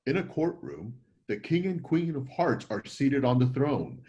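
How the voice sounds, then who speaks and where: A man's voice: medium pitch, very animated, unhurried, mellow, muffled recording. A man narrating the scenes of a classic story as scripted stage directions.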